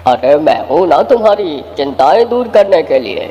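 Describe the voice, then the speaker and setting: A man's voice: on the high side, sing-song, normal speed, warm tone, in a large hall, low-quality recording. A man voicing a kindly, cheerful magical talking appliance in a children's cartoon, reassuring and eager to help. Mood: cheerful, boastful. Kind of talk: Hindi children's cartoon story voice acting.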